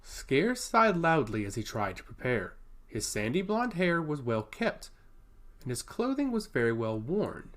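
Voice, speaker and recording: Male narrator for fantasy fiction, dramatic and highly varied in pitch. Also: sing-song, measured pace, a bit bright. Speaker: storyteller.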